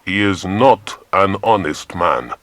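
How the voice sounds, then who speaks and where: A man voicing a small, excitable foreign police chief with clipped, formal English in a children's audiobook. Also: low-pitched, slow, dry recording, heavily acted. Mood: pleased, earnest.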